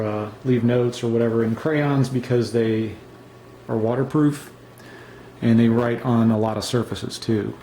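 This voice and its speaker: Relaxed low-key man reviewing gear. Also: slight background noise, poor audio. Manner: relaxed, unscripted, many fillers and restarts. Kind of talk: casual show-and-tell review.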